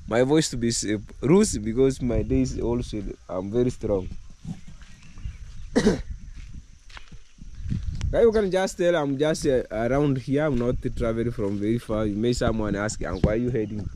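A middle-aged Kenyan man talks hoarsely into a camera held at arm's length outside in a rural village. His voice is mellow and dark in tone, and he repeats words as he goes. Faint outdoor sounds sit behind him. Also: moderate pace, long pauses, a little hiss or hum. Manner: chatty, repeats words, bursts up in pitch when stressing points. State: hoarse from a cold. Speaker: vlogger. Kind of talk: casual vlog to camera.

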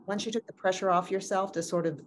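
A woman's voice: medium pitch, steady tone, fast, slight background noise. A woman in her thirties speaking reflectively as an actor in a talkback, recorded indoors on a video call. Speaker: actor in an audio drama cast. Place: room at home, indoors.

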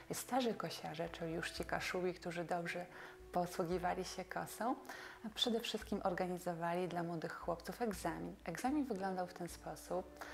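Female museum expert, forties, lowish gravelly voice, explanatory delivery with emphatic repeats. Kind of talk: explanatory talk to camera on folk customs. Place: small quiet furnished room, indoors. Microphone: lapel mic.

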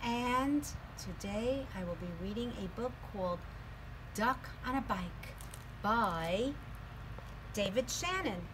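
A middle-aged woman tells a children's story at a very unhurried pace. Her voice sits a little high. She is recorded outdoors, with some noise behind her. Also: animated. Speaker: storyteller reading a picture book for children. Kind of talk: slow read-aloud storytelling. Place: outdoor home patio.

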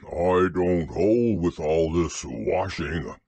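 A man voicing a gloomy, disapproving male donkey from a storybook, grumbling in a drawn-out way. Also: sits low, darkish tone, breathy edge, dry recording, voice pushed down, exaggerated voice. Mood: glum, grumbling.

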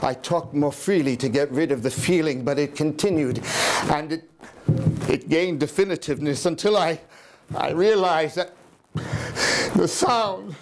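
A man in his sixties playing a guilt-ridden, mad murderer in a theatrical gothic horror reading, halting and repeating words, agitated and declamatory. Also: fairly high pitch. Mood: uneasy, confiding. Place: small indoor room in an old house, live audience.

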